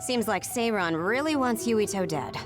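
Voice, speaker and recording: A woman playing a shrewd, level-headed soldier in an anime-style game dub, with a measured, analytical delivery. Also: medium pitch, expressive, close to an everyday voice. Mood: cool reasoning. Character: shrewd, calculating, level-headed young soldier, coolly pragmatic. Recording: loud music behind.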